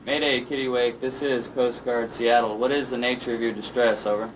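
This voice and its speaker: A calm male Coast Guard operator, played by an actor, with a flat, measured, formal delivery in a radio drama. He sounds distant, with clear noise and clicks around the voice. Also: medium pitch, natural voice. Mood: calm, official. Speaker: radio watchstander. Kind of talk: dramatized marine radio exchange.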